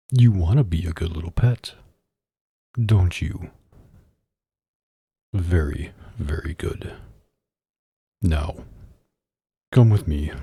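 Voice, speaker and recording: Mafia boss, male actor: dark, gravelly tone, stern and possessive, controlled menace, intimate ASMR delivery in a dry close space. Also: low-pitched, dry recording, heavily acted. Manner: slow, stern, menacing and controlled, with long pauses. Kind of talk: ASMR roleplay, intimate address to the listener.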